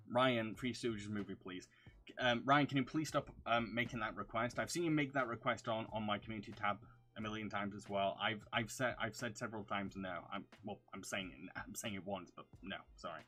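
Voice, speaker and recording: A man's voice: lowish voice, fairly bright tone. Young man casually answering viewer questions. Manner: reads out questions, answers with fillers and restarts. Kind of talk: casual live Q&A.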